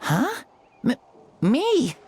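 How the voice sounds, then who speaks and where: A woman voicing a frail, timid young child in a video game, speaking haltingly and unsure. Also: sits high, huge pitch swings, darkish tone, a little hiss or hum, heavily acted. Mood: confused. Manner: hesitant, halting.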